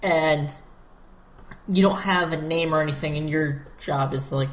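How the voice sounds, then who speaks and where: A man's voice: a little high, fairly even intonation, normal speed, fairly bright tone, echoey room. A man co-hosting a casual video game podcast, speaking in loose, hesitant phrases. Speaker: podcast co-host. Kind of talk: casual chat about video games.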